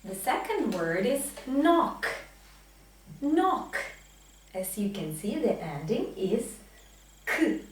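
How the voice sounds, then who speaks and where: A woman teacher speaks very slowly, with big swings in pitch as she drills words. She enunciates each one with deliberate emphasis. The room is heavily echoey and she sounds some way from the microphone. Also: very animated, distant mic. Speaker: English teacher. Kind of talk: pronunciation lesson with drilled example words. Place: small indoor classroom with whiteboard.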